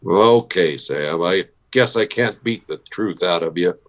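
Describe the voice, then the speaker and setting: A man's voice: low-pitched, unhurried, a bit bright, dull audio. A man playing a weary, steadfast frontier marshal in a recreated vintage radio western, speaking tersely and firmly. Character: weary, steadfast male U.S. marshal in an old West town.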